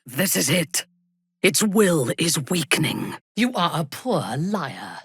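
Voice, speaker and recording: A woman playing an imperious, ruthless dark elf warrior in a video game, haughty and commanding, with a husky, breathy delivery. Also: low voice, sing-song, no echo, studio quality, exaggerated voice. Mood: cold, commanding. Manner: haughty, commanding, measured, deliberate.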